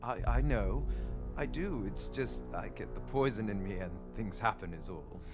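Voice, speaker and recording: A man's voice: on the low side, animated, normal speed, over music, close to an everyday voice. An actor voicing a drunken, blustering false king in a fantasy video game, with a coarse, boozy manner. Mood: cowed, stammering.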